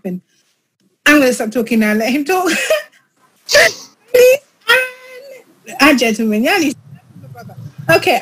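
A high-pitched woman hosts a podcast in a gushing, effusive way. Her voice wobbles with emotion as she chats casually, and the sound comes through a remote call. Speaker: podcast host.

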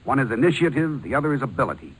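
An actor playing a cautious, formal but kindly businessman in a 1940s radio drama, speaking with measured courtesy. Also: mid-range voice, sing-song, husky, low-quality recording. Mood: decisive. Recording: old 1940s radio broadcast recording.

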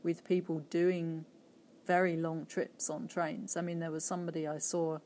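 A woman with a fairly low voice talks as a podcast guest. She muses slowly and thoughtfully, hedging with frequent asides and small laughs. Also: little pitch movement, dark tone, a little hiss or hum. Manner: thoughtful, hedging, many "I mean" and "you know". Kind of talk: casual interview chat.

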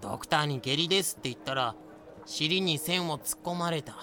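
A man's voice: a little high, brisk, sharp tone, faint music behind. A man playing a crude, griping young male captive in a comedic audio drama, talking in slang. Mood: grumbling, comic.

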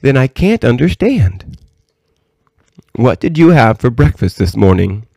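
A man's voice: medium pitch, very animated, unhurried, creaky, warm tone, no room sound, pitched above the performer's own voice, exaggerated voice. A man voicing a curious, eager little princess, an innocent girl full of questions.

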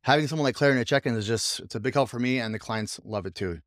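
A man's voice: mid-range voice, fast, a bit bright, no echo, studio quality. A man hosting a casual podcast, talking up his assistant in an enthusiastic, rambling way with frequent restarts. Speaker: podcast host, coach and business owner.

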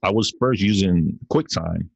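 A man's voice: deep voice, a little slow, gravelly, husky, no echo, dull audio. A man of Samoan heritage hosting his own podcast, rambling playfully and talking straight to his listeners in a casual solo rant. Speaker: podcast host. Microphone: computer recording via video call app.

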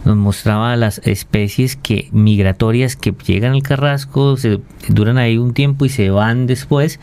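A man's voice: medium pitch, steady tone, a little slow, a little mellow, clean tone. A Colombian man explaining technical matters informally as an interview guest, hesitating and repeating words as he goes. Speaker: interview guest, waste treatment expert.

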